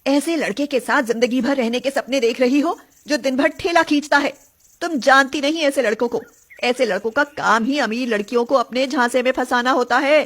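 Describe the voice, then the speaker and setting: Woman voicing a high, scolding snobbish mother. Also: moderate pace, a bit bright. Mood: angry scolding. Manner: nagging, disapproving.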